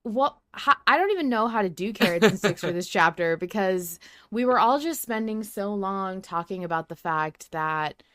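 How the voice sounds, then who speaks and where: A woman's voice: medium pitch, animated, normal speed, a bit bright, no echo, clean studio sound. A woman hosting a podcast in casual discussion, chatty and candid, with some casual swearing. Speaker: podcast host.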